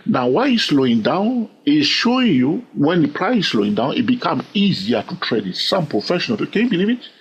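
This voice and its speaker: Animated man teaching trading, husky voice. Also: brisk, few pauses, dull audio. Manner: repeats "all right?" to the viewer, asks questions; animated, sudden upward pitch jumps. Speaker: trading educator. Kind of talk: screen-share trading lesson.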